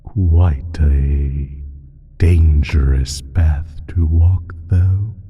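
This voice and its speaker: Very deep, husky ancient evil creature. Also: male voice, highs cut off, exaggerated voice. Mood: sly teasing. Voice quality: very deep, husky, breathy, dropping into whisper. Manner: drawn-out, halting, teasing delivery with low chuckles. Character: ancient evil male creature, sly, taunting, sinister pact-maker. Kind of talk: slow, theatrical audio roleplay monologue addressed to listener.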